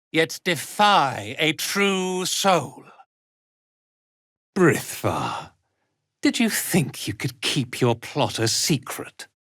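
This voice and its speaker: An arrogant fantasy game villain, a zealot commander who sneers at everyone beneath him. He speaks very slowly and deliberately, with theatrical swings in pitch, in a close, dry recording. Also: fairly bright tone, no room sound, heavily acted. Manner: haughty, imperious, theatrical delivery. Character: arrogant, contemptuous drow zealot commander, cruel and impatient. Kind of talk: dramatic video game voice acting.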